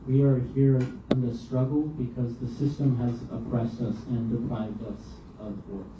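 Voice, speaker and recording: A man's voice: medium pitch, flat delivery, moderate pace. Man interpreting live in an echoey hall. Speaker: event moderator and interpreter. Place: university hall event. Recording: very echoey hall, distant mic, noise.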